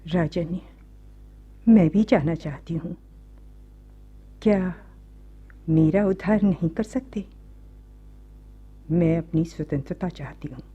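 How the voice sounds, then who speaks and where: An actress playing a young captive princess in a radio play, pleading softly and sorrowfully in a breathy voice. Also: female voice, on the low side, slow, long pauses, full character voice. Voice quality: soft, breathy. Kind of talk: Hindi radio play acting. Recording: dull, muffled studio recording.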